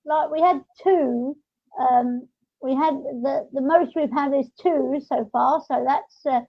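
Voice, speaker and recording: Female podcast host, high voice, rambling and halting, close dry but dull lo-fi audio. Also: dry recording. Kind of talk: casual podcast chat.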